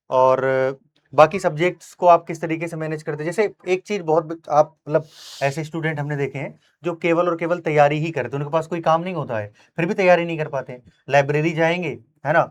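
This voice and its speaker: Guy doing an interview, bit higher voice, encouraging and emphatic, keeps checking in with tag questions. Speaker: interviewer and coaching teacher. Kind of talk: conversational interview with motivational asides.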